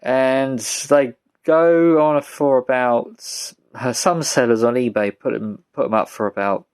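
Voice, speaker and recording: A British man giving a casual, rambling show-and-tell as a vlogger, speaking in a halting, low-key way. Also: clear, resonant tone. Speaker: vlogger showing a music collection.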